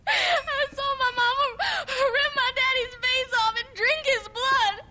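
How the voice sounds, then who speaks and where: A woman's voice: extremely high pitch, slow, bright, forceful tone, a little hiss or hum, heavily acted. A woman playing a terrified orphaned young girl in a western video game, crying as she talks. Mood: distraught, tearful. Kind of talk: video game cutscene acting.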